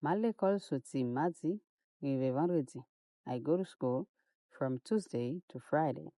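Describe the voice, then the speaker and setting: Slow, low-voiced woman teacher. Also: animated, mellow, no echo. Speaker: English teacher. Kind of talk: scripted beginner language lesson.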